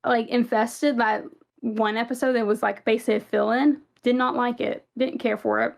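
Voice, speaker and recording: A woman's voice: a little high, steady tone, normal speed, gravelly. A young woman with a Southern US accent co-hosting a casual fan podcast, chatty and rambling with many fillers. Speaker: podcast co-host.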